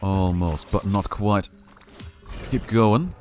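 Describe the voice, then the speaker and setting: A man's voice: lowish voice, slow, a little mellow, faint music behind. A young man streaming a game, giving playful, jokey live commentary and reading chat aloud. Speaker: gaming streamer.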